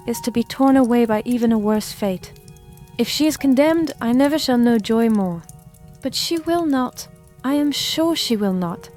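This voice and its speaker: High-voiced, anguished young gentlewoman, played by a woman. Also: close to an everyday voice. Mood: fragile hope. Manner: earnest, formal. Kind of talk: dramatized audiobook acting.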